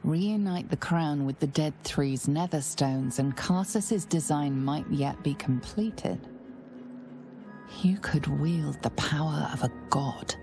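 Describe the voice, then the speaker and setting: An actress voicing a solemn, scheming narrator of a dark fantasy game, deliberate and knowing, addressing the player directly. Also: female voice, sits low, music in the background. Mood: ominous, enticing. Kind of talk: dramatic second-person game narration.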